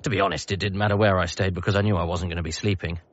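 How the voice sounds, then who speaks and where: An actor playing a young, self-deprecating, anxious son who narrates a radio comedy drama in a wry, confiding, hesitant way. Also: male voice, sits low, steady tone, very fast, highs cut off. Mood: rueful. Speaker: narrator and lead character.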